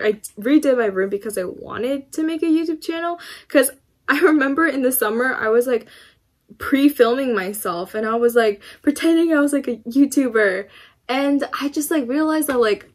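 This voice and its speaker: An eighteen-year-old woman answering viewer questions in a casual vlog from her room, rambling with lots of fillers and little laughs. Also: high voice. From United States. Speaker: YouTuber. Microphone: camera in front of her, laptop beside it.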